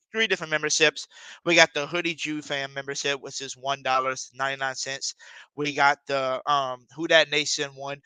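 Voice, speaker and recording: A man's voice: medium pitch, pushed and bright, clean tone, no echo, low-quality recording. A man hosting a sports podcast, signing off to viewers in a laid-back, drawling way with many fillers. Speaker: podcast host. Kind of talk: casual sign-off to viewers.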